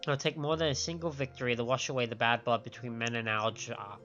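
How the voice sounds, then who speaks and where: A man's voice: medium pitch, flat delivery, fast, bright, forceful tone, highs cut off. A man on a live game stream, reading dialogue aloud with stumbles over the words and reacting to it. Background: game music.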